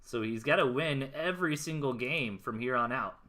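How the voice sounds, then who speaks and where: A man's voice: medium pitch, moderate pace, pushed and bright. An American man casting a strategy game replay in a casual, slangy, explanatory way, with music behind him. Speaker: esports replay caster. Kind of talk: live game commentary, casual.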